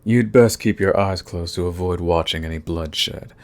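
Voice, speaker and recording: Villain, male actor: deep voice, cool menace, smug and taunting, unhurried threats, audio roleplay. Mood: cool mocking. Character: cocky, menacing male villain, coolly fond of his enemy. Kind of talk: ASMR roleplay acting, addressing the listener.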